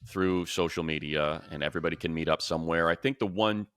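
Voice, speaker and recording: A man's voice: low voice, quick pace, fairly bright tone, clear, resonant tone, no room sound. An American man co-hosting a sports talk show, explaining things in a casual, conversational way. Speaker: sports talk show host and play-by-play announcer.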